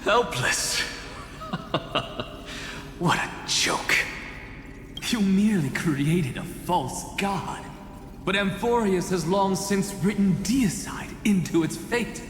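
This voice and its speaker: An actor playing a proud, scornful sage in a video game, with a mocking, emphatic delivery. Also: male voice, high-pitched, lively intonation, takes it slowly, pauses often, a bit bright, heavily acted. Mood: scornful, defiant. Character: proud defiant sage, high-voiced scornful man. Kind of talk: dramatic game voice acting. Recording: echoey long reverb, faint music.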